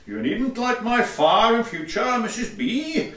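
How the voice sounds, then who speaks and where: A man's voice: very high-pitched, sing-song, unhurried, fairly bright tone, echoey room, far from the mic, exaggerated voice. A man playing a fussy, pompous, sarcastic Victorian lodger in a farcical audio play. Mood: pointed, indignant. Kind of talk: theatrical farce acting for audio play.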